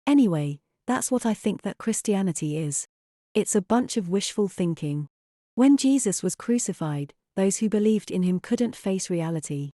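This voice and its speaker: Mellow, earnest woman acting a doubting young wife. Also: medium pitch, animated, normal speed, no room sound, clean studio sound, close to an everyday voice. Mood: skeptical, wistful. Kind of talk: dramatized audio drama dialogue.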